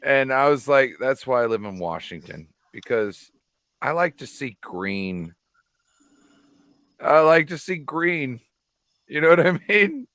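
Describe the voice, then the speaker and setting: A man from California shooting the breeze as host of a casual online show, profane and rambling, easy middle-pitched voice. he sounds close and dry, with no room around him. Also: sing-song, slow, pauses often, a bit bright, no room sound. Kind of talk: casual chat.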